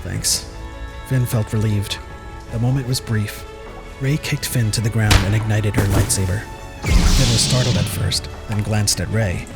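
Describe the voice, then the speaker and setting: A man's voice: fairly low pitch, steady tone, moderate pace. A man reads scripted dramatic narration as the storyteller of an audio drama. Prominent music plays behind his voice.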